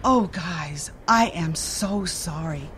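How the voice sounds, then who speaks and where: A woman playing Maple, a cautious woman who speaks in a hushed, guarded way. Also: lowish voice, slow, a little hiss or hum.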